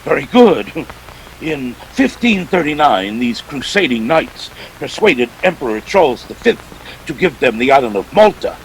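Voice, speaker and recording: Gravelly, pompous fat crime boss. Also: male voice, mid-range voice, big pitch swings, a little slow, slight background noise, low-quality recording. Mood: grandiloquent relish. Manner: pompous, courtly, chuckling formality. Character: genteel, verbose fat male crime boss, slyly jovial. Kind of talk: 1940s radio villain acting.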